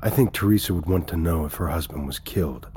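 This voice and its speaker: A man's voice: sits low, quick pace, darkish tone, a little hiss or hum, lightly acted. An actor playing an earnest male investigator and caring father in an audio drama, plain-spoken and hesitant but persistent. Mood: insistent, uneasy. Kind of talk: naturalistic audio drama acting.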